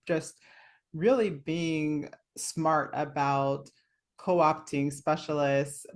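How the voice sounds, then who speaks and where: A woman hosting a casual interview, speaking effusively in long, meandering, conversational phrases. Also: deep voice. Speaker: summit host, interviewer.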